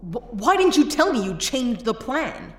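A woman's voice: a little high, big pitch swings, a little slow, echoey room. A woman playing a stern, exasperated spaceship captain with a blunt, sceptical manner in a sci-fi audio drama. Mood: sceptical, confused.

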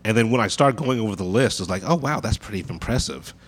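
A man's voice: fairly low pitch, expressive, quick pace, slightly rough. A man guesting on a live podcast in a coffee shop, stuffy from allergies, self-deprecating and swearing as he talks. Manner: self-deprecating, profane, trails off. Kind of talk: casual live podcast banter.

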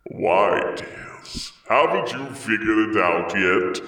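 A sinister, bodiless male voice, as a man puts it on, deep and drawn out in a breathy, mocking whisper. It sounds distant from the mic in a roomy space. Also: animated, takes it slowly, a bit bright. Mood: taunting.

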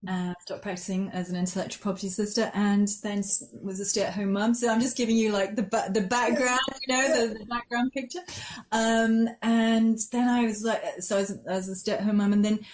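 A woman's voice: mid-range voice, fairly even intonation, a little fast, darkish tone. A woman from Switzerland who works as a life coach and part-time lawyer. She talks through her answers thoughtfully, with frequent restarts and "um"s, over a video call. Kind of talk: conversational interview answers.

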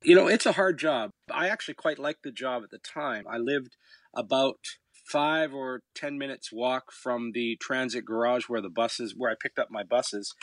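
Low-voiced man telling stories, dry close sound. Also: moderate pace, no echo. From Canada. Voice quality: fairly low voice. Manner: reflective, storytelling, with hesitant uh's. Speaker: musician guest. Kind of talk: relaxed interview answers.

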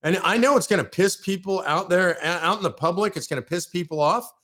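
A man's voice: high voice, a little fast, sharp tone. Fired-up ranting American man. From California. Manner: heated, ranting, punchy repetition. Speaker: political show host. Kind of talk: live political commentary.

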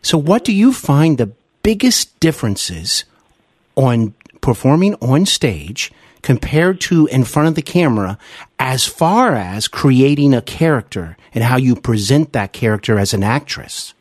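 A husky-voiced man hosts a conversational interview with widely swinging intonation. His phrasing is deliberate and appreciative, and the sound is dry and close. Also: mid-range voice, big pitch swings, no echo. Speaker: podcast host, interviewer.

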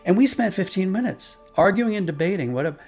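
A man's voice: sits high, expressive, fairly quick, darkish tone, faint music behind. A man being interviewed as an audio drama producer, explaining conversationally and telling anecdotes with quoted dialogue.

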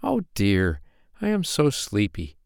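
A man's voice: fairly low pitch, huge pitch swings, slow pace, gravelly, dry recording, clean studio sound, exaggerated voice. A man voicing an eager, tender little fairy girl in a children's storybook, exclaiming everything with wide-eyed earnestness. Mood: sleepy.

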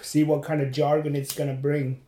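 A man's voice: a little high, little pitch movement, fairly quick, dark tone, roomy sound, a little hiss or hum. A man from Turkey hosting a casual podcast monologue while running, rambling and reflective with many fillers. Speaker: podcast host and comedian.

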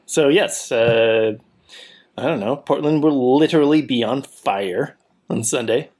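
A man's voice: medium pitch, a little slow, darkish tone. A middle-aged man co-hosting a casual podcast chat, wry and sarcastic, laughing often. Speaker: podcast co-host. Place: Portland apartment.

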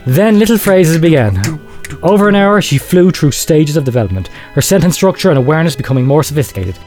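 Man playing a grave researcher, lighter-pitched, ominous. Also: animated, quick pace, a little mellow, faint music behind, lightly acted. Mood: grim wonder. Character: grave male researcher confessing secret horrific experiments. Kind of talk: dramatic horror storytelling, read aloud.

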